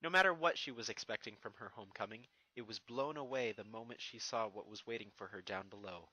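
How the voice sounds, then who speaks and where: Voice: medium pitch, quick pace, pushed and bright, husky, muffled recording. A narrator reading a fan fiction story expressively from a script, with music behind. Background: background music.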